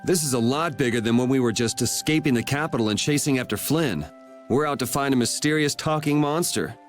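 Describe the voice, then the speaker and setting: A male actor plays a wry young game hero, casual and unhurried in attitude. His mid-range voice sounds perceptive and laid-back, with music underneath. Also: mid-range voice, close to an everyday voice. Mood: musing. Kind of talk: video game voice acting.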